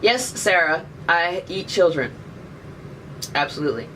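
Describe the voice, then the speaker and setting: A woman's voice: on the low side, expressive, unhurried, pushed and bright. A woman in her thirties chatting casually on a live stream and answering viewer questions with jokes. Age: 30s. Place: indoors by a window. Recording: distant webcam mic, faint background noise.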